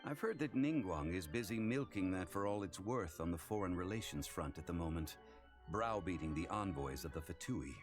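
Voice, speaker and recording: A man's voice: low-pitched, animated, music in the background. An actor playing an ancient, scholarly male god in human form, speaking formally with dry amusement. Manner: measured, formal, dryly amused. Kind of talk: game voice acting.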